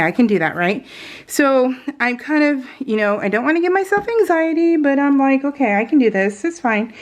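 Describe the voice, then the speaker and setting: A woman chatting casually while crafting on her vlog, rambling off on tangents in a jokey, self-deprecating way. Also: medium pitch. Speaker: craft vlogger.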